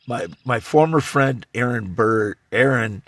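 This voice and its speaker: A man in his fifties from Iowa with a Midwestern American accent and a scratchy voice, rambling in a halting, repetitive, insistent monologue. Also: medium pitch, a bit bright, no echo. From Iowa, United States. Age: 50s. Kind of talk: rambling self-recorded monologue.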